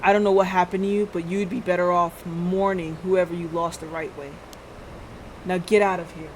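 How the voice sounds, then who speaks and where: A game streamer voicing a calm, world-weary police boss in a quiet, measured, dry manner. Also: mid-range voice, a little hiss or hum, lightly acted, rough recording. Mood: stern.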